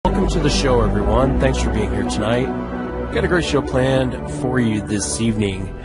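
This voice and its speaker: A hoarse man hosting a talk show, with an easygoing manner and a habit of thanking guests, recorded dry on a studio mic. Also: mid-range voice, expressive, moderate pace, over loud music. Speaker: podcast host.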